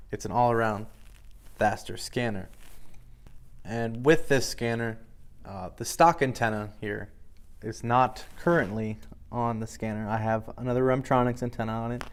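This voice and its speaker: A man's voice: mid-range voice, fairly even intonation, measured pace, clean tone. A man giving an informal product review in a matter-of-fact, explanatory way.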